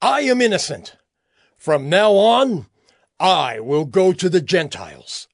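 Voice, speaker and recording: A man's voice: high-pitched, big pitch swings, slow, dry recording, studio quality, exaggerated voice. An actor playing a bold, righteous male apostle in a dramatized audio Bible, speaking emphatically. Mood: indignant.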